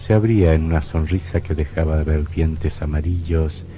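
A man with a very deep, dark voice narrates a horror radio play. He is solemn and dramatic, on a dull lo-fi radio recording with faint music behind him. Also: moderate pace.

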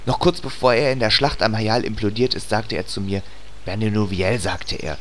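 A man's voice: fairly low pitch, steady tone, fairly quick, close to an everyday voice. A man playing an overprotective, chatty elf mother in a comedy audio drama, fussing and coaxing. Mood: reminiscing. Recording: clearly noisy amateur recording.

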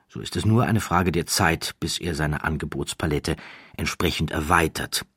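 A man with a low, slightly rough voice reads scripted documentary narration. He is measured and emphatic, with a wry edge. The sound is dry and close to the mic. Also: a bit bright, no echo.

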